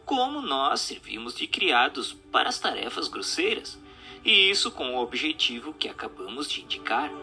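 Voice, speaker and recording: A Brazilian man reading a scripted audiobook narration in a deliberate, articulate way with emphatic phrasing. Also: mid-range voice, sharp tone.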